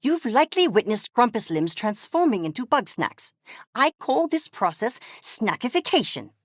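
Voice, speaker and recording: An obsessive little creature of science for a video game. The delivery is pompous and clipped, with exact academic diction and lively swings in pitch. The temper is coldly curious and scornful, heard in a dry, close studio sound. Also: female voice, normal speed, no echo. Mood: haughty, eager. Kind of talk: video game character voice lines.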